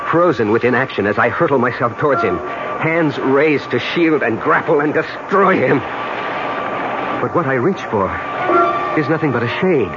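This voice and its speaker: A man's voice: medium pitch, very noisy, lightly acted. Actor as a tormented, melodramatic man, swooping intensely. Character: tormented man hurled into the past, obsessed and desperate. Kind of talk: old-time radio drama acting with first-person narration. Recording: dull lo-fi radio recording with music.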